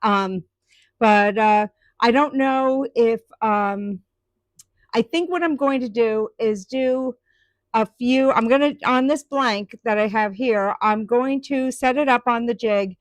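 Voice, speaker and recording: A woman's voice: a little high, slow, dry recording. A woman chatting live with viewers about her woodturning, rambling with lots of ums and restarts. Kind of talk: casual live-stream chat.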